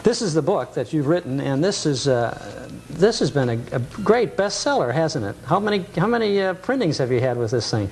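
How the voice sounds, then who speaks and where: An American man hosting a casual nostalgia interview on television, often pausing hesitantly as he phrases his questions. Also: fairly high pitch, very animated, darkish tone. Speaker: host of a nostalgia TV show. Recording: noisy 1980s cable TV recording.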